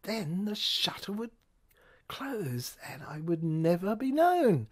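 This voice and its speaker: A woman narrates an audio play in a mid-pitched voice. Her delivery is a theatrical scripted monologue, broken into halting phrases that sometimes sink toward a whisper. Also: sing-song, a little mellow.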